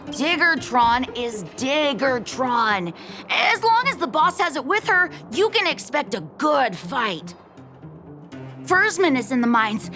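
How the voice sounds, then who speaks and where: A woman voicing a bossy, excitable young boy in a kids' gang, theatrical and self-important, for a video game. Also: high-pitched, slow, music in the background, exaggerated voice. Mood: worried, urgent. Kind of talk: animated video game voice acting.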